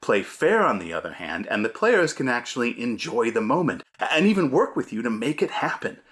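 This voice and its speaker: A man's voice: mid-range voice, sing-song, brisk, fairly bright tone. A man hosting a scripted tabletop gamemastering lesson, speaking in an emphatic, theatrical, punchy way. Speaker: host, game master giving advice.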